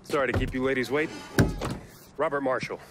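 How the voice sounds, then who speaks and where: An actor playing a crude, wisecracking bounty hunter in a video game cutscene, with a glib, mocking manner. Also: male voice, mid-range voice, a little fast, very noisy, lightly acted. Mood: cocky. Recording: loud music behind the voice. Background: loud game score.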